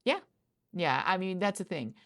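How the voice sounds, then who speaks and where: A woman improvising a conversational ASMR roleplay as a job interviewer, with halting phrasing and a gravelly creak. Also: on the low side, animated.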